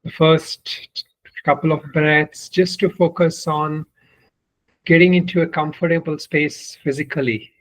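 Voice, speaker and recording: A man leading a guided meditation as a spiritual teacher, speaking in short, deliberate phrases with long pauses. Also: on the high side, steady tone, poor audio. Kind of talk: guided meditation, spoken instruction.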